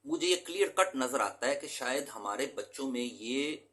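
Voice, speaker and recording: A man's voice: mid-range voice, lively intonation, normal speed, bright, forceful tone. A man from Pakistan, an English teacher, giving an earnest, emphatic lecture to his students and mixing English terms into his talk.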